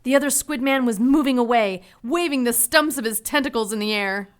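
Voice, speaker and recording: A woman's voice: a little high, fairly quick, lightly acted. An actress playing a plucky, anxious 1940s young woman who narrates her own adventure in an audio drama, earnest and dramatic. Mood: tense narration.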